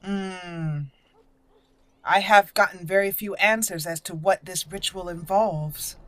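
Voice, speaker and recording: A woman's voice: lowish voice, fairly even intonation, takes it slowly, a bit bright. A woman roleplaying a proud exiled elf mage in a game, speaking in formal, stiff wording. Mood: curious, wary.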